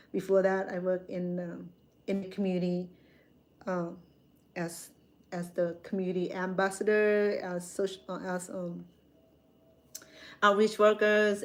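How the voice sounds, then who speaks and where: A woman's voice: lowish voice, darkish tone. Woman, 60s, Khmer speaker, chatty live-stream talk, rambling, self-correcting, phone mic. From Cambodia. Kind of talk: casual live-stream chat with viewers.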